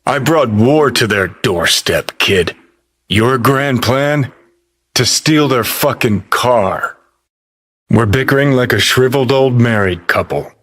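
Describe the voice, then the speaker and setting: An actor playing a cynical rebel rockerboy ghost in a video game, talking in a gruff, sardonic drawl with clipped phrases. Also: male voice, mid-range voice, animated, slow, a bit bright. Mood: wry. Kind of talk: video game character voice acting.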